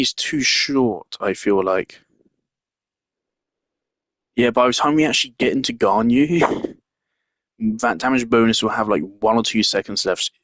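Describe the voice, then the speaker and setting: A man's voice: medium pitch, no echo. A man giving casual game commentary, rambling and thinking aloud as he plays. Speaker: gamer. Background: faint game music.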